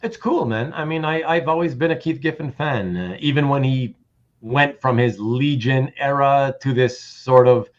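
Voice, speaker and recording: A man's voice: mid-range voice, normal speed, highs cut off. A man hosting a casual livestream about comic art, reading out chat comments and rambling with repeated words and self-interruptions.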